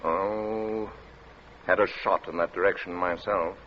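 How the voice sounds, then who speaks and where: An actor playing a bluff, drily joking captain in a radio drama, breezy and clipped, talking in short dropped-pronoun phrases. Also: male voice, deep voice, fairly even intonation, measured pace. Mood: wry, rueful. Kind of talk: 1940s radio drama acting. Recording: muffled, noisy old radio recording.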